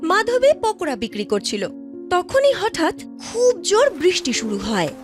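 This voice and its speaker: A woman narrates a scripted cartoon tale. Her voice is extremely high and moves in lively storytelling contours, with music underneath. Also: moderate pace. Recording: music behind the voice.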